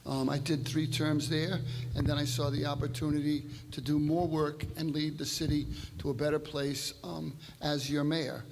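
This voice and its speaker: Brief: older male mayor, higher voice, flat even delivery, hall reverb. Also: moderate pace, creaky, slight background noise. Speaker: incumbent mayor. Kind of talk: candidate forum speech. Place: church hall forum.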